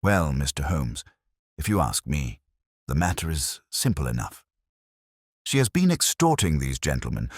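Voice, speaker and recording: Male narrator as confident Victorian policeman, storybook, matter-of-fact, very low voice, dry recording. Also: no echo, exaggerated voice.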